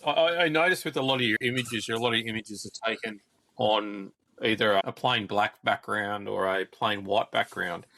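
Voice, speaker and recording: A man's voice: fairly low pitch, normal speed, creaky, bright, forceful tone, no room sound. A man co-hosting a podcast, asking long rambling questions in a casual way. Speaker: podcast co-host.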